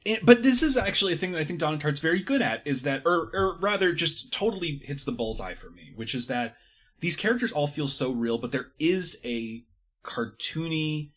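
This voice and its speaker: A man's voice: fairly high pitch, sing-song, normal speed, a bit bright. A man talking effusively and casually about books as a podcast guest, fond of hyperbole. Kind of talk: casual book discussion.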